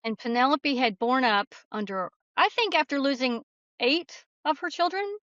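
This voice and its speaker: Woman, author guest, slightly high pitch, plainspoken, folksy, conversational, slightly dull lo-fi sound. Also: normal speed, fairly bright tone, no room sound. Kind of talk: conversational interview.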